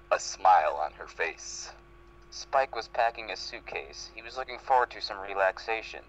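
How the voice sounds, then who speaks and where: Bright, low-pitched man reading aloud. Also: slight background noise, poor audio. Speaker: fan fiction reader. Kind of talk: dramatic group reading with laughter breaks.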